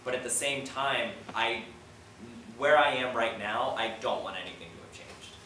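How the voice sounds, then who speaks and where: A young man speaking as a playwright on a discussion panel, answering questions in an informal, halting, reflective way. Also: mid-range voice, sharp tone. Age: young adult. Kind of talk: audience Q&A panel talk. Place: echoey indoor theater. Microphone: distant camera mic. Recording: echoey room, distant mic, noisy background.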